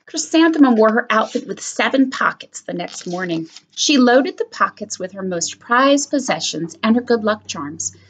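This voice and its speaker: Elementary teacher narrating a picture book, lively and expressive. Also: female voice, on the high side, normal speed, few pauses, slightly rough, low-quality recording. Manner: expressive, animated storytelling. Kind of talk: reading a picture book aloud.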